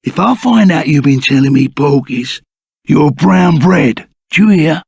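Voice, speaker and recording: An actor playing a gruff, loyal, blustering Cockney ex-bandit in a video game, full of rough, matey slang. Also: male voice, a little high, animated, a little slow, darkish tone, no room sound, studio quality, full character voice. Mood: rough, insistent. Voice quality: gruff, gravelly. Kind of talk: video game character acting.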